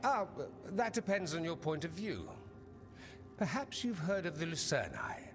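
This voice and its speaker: A man's voice: on the high side, big pitch swings, measured pace. A man playing a suave, witty, self-assured mage in a fantasy game, with a dry, sardonic, theatrical delivery. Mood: assured, teasing. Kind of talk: dramatic game dialogue. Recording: reverberant hall, music underneath.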